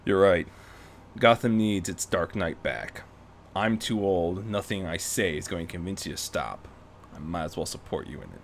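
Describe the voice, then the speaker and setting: A man voicing a gruff old retired crime-fighter, a terse, dry and measured mentor in a story reading. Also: low-pitched, lively intonation, slight background noise, voice pushed down. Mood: resigned.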